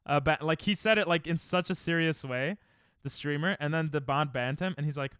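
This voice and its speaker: A man's voice: a little high, brisk, a bit bright, smooth, no echo, studio quality. A man streaming a game, chatting casually and sarcastically with his viewers. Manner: sarcastic, joking banter with chat. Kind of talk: casual live-stream chat while gaming.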